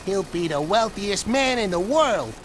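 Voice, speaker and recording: A smug cartoon hornet gang leader for a video game. The voice is very high and swings widely, drawling taunts with false courtesy over clear background engine noise. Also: male voice, expressive, a little slow, fairly bright tone. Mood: boastful. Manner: taunting, drawling, mock-polite. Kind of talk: animated game villain acting.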